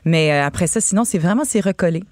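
Une femme québécoise, voix de synthèse, invitée en studio radio, parle d'un ton détendu avec beaucoup d'hésitations et des rires faciles. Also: female voice, lowish voice, fast, dry recording. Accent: Québécois French. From French Canada. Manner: relaxed, laughs easily, many fillers. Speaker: synthetic voice talent, guest.

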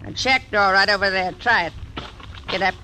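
An actress voicing a grim, hard frontier widow who gives cold, threatening orders, in 1940s radio acting style. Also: female voice, medium pitch, moderate pace, lightly acted. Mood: cold, commanding. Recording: noisy old radio broadcast.

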